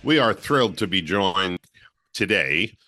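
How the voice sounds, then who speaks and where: A man co-hosting a podcast, speaking in a measured, articulate way. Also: deep voice, lively intonation, bright, forceful tone, faint music behind. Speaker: podcast co-host.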